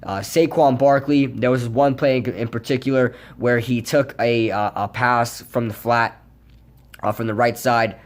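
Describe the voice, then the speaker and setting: A hoarse young man giving a casual, rambling post-game recap as a sports fan vlogger, with lots of fillers. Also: mid-range voice, steady tone, a little slow. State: hoarse from yelling.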